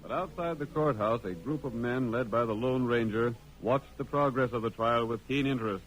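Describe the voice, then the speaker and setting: An actor narrating a western adventure in an earnest, dramatic old-time radio style. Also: male voice, mid-range voice, normal speed, natural voice, low-quality recording.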